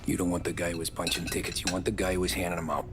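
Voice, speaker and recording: A male actor playing a weary, guarded mobster turned informant in a video game, speaking in terse, measured, understated phrases. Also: sits low, flat delivery, music in the background, close to an everyday voice. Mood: guarded, bargaining. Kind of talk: video game cutscene acting.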